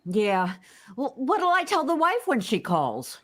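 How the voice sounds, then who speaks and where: Woman as switchboard operator, high animated voice, businesslike, official, radio play. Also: exaggerated voice. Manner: brisk, official. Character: businesslike hospital switchboard operator, matter-of-fact. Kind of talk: vintage radio drama acting.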